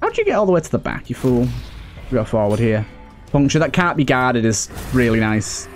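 A man's voice: mid-range voice, very animated, fairly quick, faint music behind. A man giving casual let's play commentary, thinking aloud and repeating phrases for emphasis. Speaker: gaming video host.

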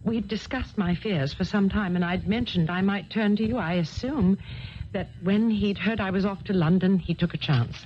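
An actress playing a frightened young heiress in a 1970s radio drama, fearful, earnest and hesitant. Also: female voice, medium pitch, moderate pace, highs cut off, a little hiss or hum, natural voice.

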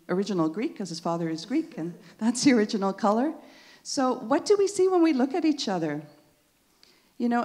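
A middle-aged woman originally from the Netherlands speaks as a panelist. She answers an audience question in a thoughtful, earnest way and sometimes laughs lightly. Her voice sits at a middling pitch. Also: a little mellow.

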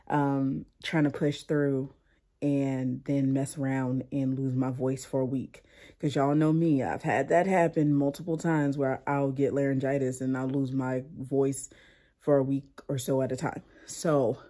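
Woman, low voice, mellow and dark, sick and losing her voice, chatty vlogger. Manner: chatty, animated storytelling with "like" and "y'all". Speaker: vlogger, mother. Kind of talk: casual chat to camera.

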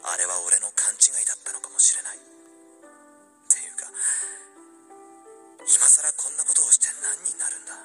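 A man playing a wistful young man pining for an old love, narrating a radio drama in a hushed, introspective way. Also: on the low side, close to an everyday voice.

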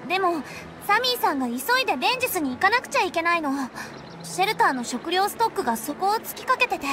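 A woman voicing a chatty, eager teenage girl in a Japanese anime game, lively and friendly. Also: very high-pitched, quick pace, noisy background. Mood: earnest.

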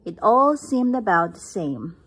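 A woman reads a picture book aloud in a gentle, breathy voice at a very slow, careful pace. Her pitch sits in a middle range, and she sounds like a parent sharing a story at home. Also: very animated. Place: indoors, at home.

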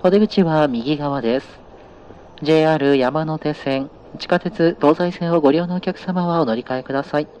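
A man's voice: fairly high pitch, normal speed, a little mellow, slight background noise, rough recording. A man working as a train conductor, making formal, polite announcements to passengers over the train's PA.

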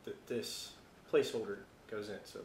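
An American man giving a casual software tutorial, talking informally and thinking aloud as he works. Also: on the low side, steady tone, normal speed, roomy sound, a little hiss or hum. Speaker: tutorial presenter.

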